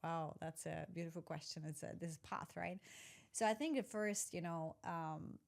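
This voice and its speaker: Reflective Polish-born woman, lowish voice. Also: moderate pace, gravelly. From Poland. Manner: reflective, searching, self-correcting, soft laughs. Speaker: guest, executive coach. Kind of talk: conversational interview.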